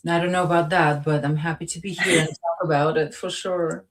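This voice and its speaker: A woman's voice: sits low, fairly even intonation, brisk. A woman from Latvia speaking modestly and hesitantly as a guest in a casual, personal conversation. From Latvia, then part of the Soviet Union. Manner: modest, hesitant, searching for words. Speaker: interview guest.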